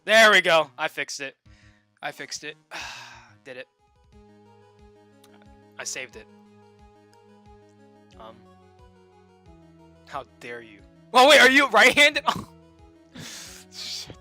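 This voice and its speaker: Young male game streamer, joking and excitable, slightly high voice with a sharp, bright tone. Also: takes it slowly, long pauses, faint music behind. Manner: excitable, joking, swings between shouting and muttering. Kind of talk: casual gameplay commentary.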